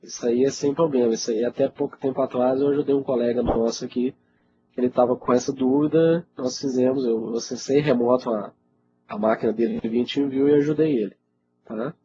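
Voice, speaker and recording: A man's voice: mid-range voice, steady tone, a little fast. A Brazilian man with a cold, teaching IT and answering questions in an informal, conversational webinar style, often checking in with his listeners. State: congested with a cold. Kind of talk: casual live webinar Q&A. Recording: dull lo-fi webinar audio, loud noise.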